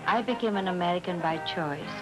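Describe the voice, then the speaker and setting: A woman from Hungary, giving an earnest, measured formal address. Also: fairly low pitch, loud music behind the voice, rough recording. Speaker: public speaker.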